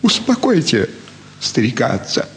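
A man's voice: medium pitch, moderate pace, slight background noise. An actor playing a fawning elderly provincial landowner and doting father, effusive and sentimental in a classic stage radio play. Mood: pleading. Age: older. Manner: effusive, sentimental, flattering with many sighs. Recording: roomy stage hall with faint ambience.

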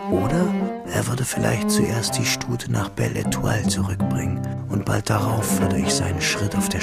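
An actor playing a reflective man who narrates his schoolboy memories in a radio drama, in a measured, intimate, literary manner. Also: on the low side, vocal fry, full character voice. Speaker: first-person narrator.

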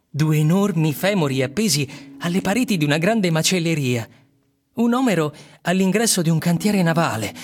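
An actor reading literary narration as a grave storyteller, in a measured and deliberate way. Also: male voice, fairly high pitch. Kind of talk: literary scripted narration with music.

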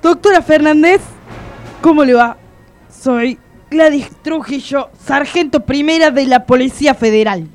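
An actress playing a formal, by-the-book police sergeant in a comic radio drama. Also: female voice, high voice, measured pace, darkish tone, faint music behind. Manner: formal, procedural.

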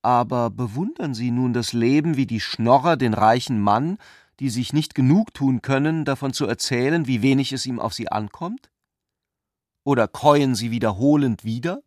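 A man acts a courteous, eloquent intellectual with an ironic bent for a literary radio play. His voice sits at a medium pitch and speaks deliberately, with a very dry, close sound. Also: a little mellow, no room sound, lightly acted. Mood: eloquent, questioning. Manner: eloquent, deliberate, earnestly polite. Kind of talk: German literary radio drama.

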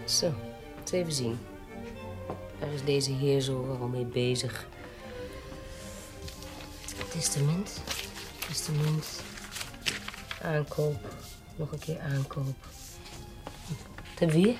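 An actress playing a resolute, scheming baroness in a period audio drama, speaking formally and deliberately. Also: female voice, low-pitched, steady tone, over loud music. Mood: quietly curious. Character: resolute, scheming self-styled baroness, protective aunt.

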